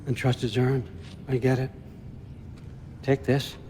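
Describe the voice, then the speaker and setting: A man's voice: medium pitch, level tone, slow pace, warm tone, slight background noise, natural voice. A man playing a sly, cagey patriarch in a video game, coaxing and self-assured, with clipped phrasing. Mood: sly, confident. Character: sly, commanding, cagey patriarch, smooth and testing. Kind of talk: dramatic video game cutscene acting.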